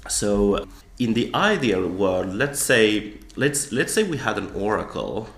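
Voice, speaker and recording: Low gravelly man in an echoey room. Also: sing-song, slow, a bit bright. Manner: thoughtful, with frequent restarts and fillers. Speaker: guest author. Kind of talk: podcast interview.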